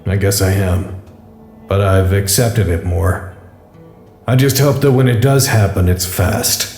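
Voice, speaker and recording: A man acting in an audio drama as a weary, resigned man who speaks in a blunt, reflective deadpan. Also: deep voice, a little slow. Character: weary, resigned man coolly contemplating his own death. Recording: roomy echo, music behind.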